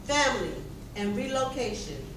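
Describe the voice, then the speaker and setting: A woman's voice: fairly high pitch, big pitch swings, normal speed. A woman reading scripted narration for a live stage presentation, picked up from a distance in an echoey chapel. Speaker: readers theater narrator. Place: indoor chapel, live performance. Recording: echoey room, distant mic, clear background noise.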